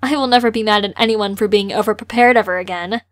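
A woman's voice: mid-range voice, fast talker, natural voice. A young woman playing an outspoken, sarcastic teenage girl in an amateur audio drama. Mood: wry.

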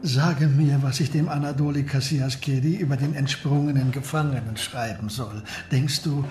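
A man's voice: mid-range voice, steady tone, moderate pace, a little mellow, faint music behind, lightly acted. An actor playing a grudging, scheming Ottoman official in a radio drama, evasive, with hesitant grunts. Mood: wary, oily. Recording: roomy sound with clear background noise.

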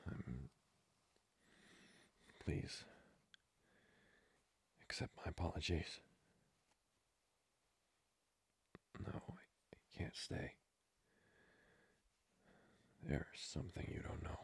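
Man acting out a very deep, whispering vampire. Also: very animated, full character voice. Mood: apologetic, conflicted. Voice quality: breathy whisper. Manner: halting, hesitant, slow. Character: flirty, hesitant, lustful male vampire, tsundere. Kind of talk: ASMR roleplay.

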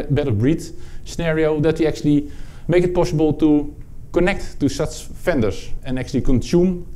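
Man, Dutch accent, conference speaker, technical talk, halting with fillers, mellow, mid pitch. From Netherlands. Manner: halting, many uh fillers and restarts. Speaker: product owner presenting at a conference. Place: conference room with audience.

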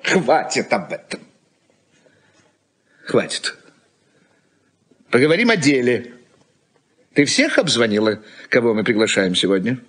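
A man's voice: medium pitch, animated, unhurried, pauses often, fairly bright tone, husky. An actor playing an irritable, practical middle-aged husband and father in a Soviet radio play, lecturing in a condescending way. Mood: brusque, lecturing. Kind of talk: Soviet radio drama acting.

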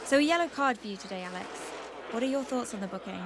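An actress playing a brisk, professional post-match sports reporter putting TV interview questions in a video game. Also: female voice, fairly high pitch, animated, crowd noise.

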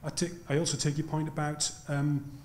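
A man's voice: on the high side, little pitch movement, a little mellow, a little hiss or hum. An older man answering an audience question at a university talk, full of restarts and repeated words, holding a handheld mic in a lecture theatre. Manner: halting, many restarts, then earnest. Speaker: panel speaker. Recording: echoey lecture hall.

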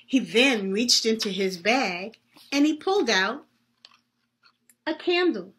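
Female reader, higher-pitched and slightly rough-edged, for an expressive holiday story recitation. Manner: deliberate, emphatic phrasing with pauses. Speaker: reader at a church holiday program.

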